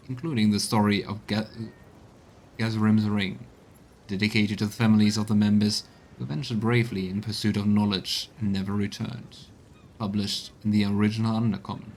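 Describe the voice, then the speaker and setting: A man's voice: fairly low pitch, a little hiss or hum, low-quality recording. A man streaming a game, reading texts aloud and musing on them in gameplay commentary. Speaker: let's play streamer.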